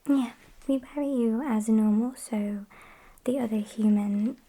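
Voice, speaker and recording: A sassy, morbid gothic vampire played by a woman with a British accent. She speaks in a breathy, mellow whisper for an ASMR roleplay. Her delivery is soft, unhurried and deadpan, chatting casually to her captive. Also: a little high. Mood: matter-of-fact.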